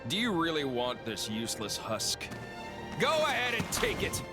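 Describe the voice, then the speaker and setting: A man's voice: a little high, huge pitch swings, normal speed. An actor playing a cruel, mocking, theatrical male villain in a video game cutscene. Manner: taunting, sneering, theatrical. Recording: loud background music.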